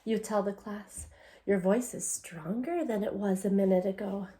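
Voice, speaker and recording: A woman teacher reading a picture book aloud to young children, encouraging and deliberate, putting questions straight to her listeners. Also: mid-range voice, fairly even intonation, mellow. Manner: encouraging, asks questions and answers them.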